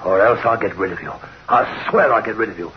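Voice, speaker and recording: An actor playing a nervous, weak-willed, fed-up husband in a radio horror drama, halting and repeating himself. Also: male voice, fairly low pitch, a little hiss or hum, close to an everyday voice. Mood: exasperated. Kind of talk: 1960s radio horror acting. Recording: muffled old radio recording, loud music.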